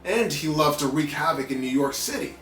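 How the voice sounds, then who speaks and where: High-voiced male storyteller in a roomy space. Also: lively intonation, a little fast, fairly bright tone. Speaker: children's story reader. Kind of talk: animated read-aloud narration for kids, with playful asides. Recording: roomy echo with background music.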